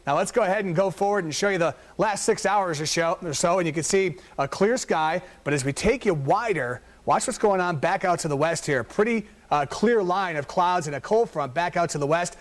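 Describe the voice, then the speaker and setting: A man's voice: high-pitched, a little fast, few pauses. A man giving a TV weather forecast in an upbeat, chatty, enthusiastic manner from a news studio. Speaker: chief meteorologist. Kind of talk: TV weather forecast, conversational broadcast.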